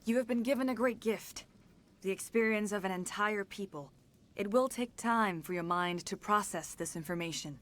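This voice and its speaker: An actress plays a centuries-old alien woman in a video game drama. Her voice sits at a medium pitch. She phrases everything formally and gravely, with a serene, remorseful dignity. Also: normal speed, fairly bright tone, close to an everyday voice. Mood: solemn, earnest.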